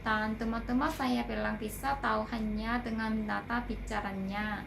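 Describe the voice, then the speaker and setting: A woman's voice: fairly high pitch, steady tone, moderate pace. A woman from Korea with a Korean accent, giving a scripted talk to viewers in careful, formal learner's phrasing, recorded with noise behind her. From South Korea. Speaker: video blogger, airport security worker. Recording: clear background noise.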